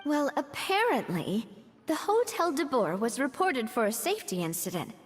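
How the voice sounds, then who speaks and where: A woman's voice: high-pitched, big pitch swings, normal speed, husky, exaggerated voice. A woman voicing a confident, friendly young woman in a video game who talks in a reassuring way. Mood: explaining. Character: confident young woman, warm and gracious leader.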